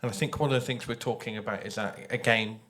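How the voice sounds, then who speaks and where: A man of medium pitch speaks as a writer on a live discussion panel. He is thoughtful and unscripted, pausing and restarting as he works through his ideas into a microphone. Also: fairly even intonation, a bit bright. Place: live panel at a games festival. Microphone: handheld or shared panel microphone.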